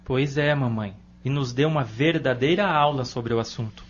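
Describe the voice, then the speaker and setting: A man's voice: mid-range voice, moderate pace, natural voice. A man playing a devout, worried young man in an audio drama, earnest and concerned in manner. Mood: earnest, calm. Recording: dull lo-fi audio.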